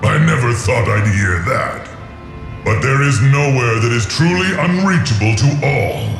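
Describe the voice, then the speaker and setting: An actor playing a proud, menacing ancient dragon in a video game, speaking in a grandiose, imperious, archaic manner. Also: male voice, medium pitch, lively intonation, a little slow, vocal fry. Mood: skeptical. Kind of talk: dramatic video game voice acting. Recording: echoing voice under prominent game music. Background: game music.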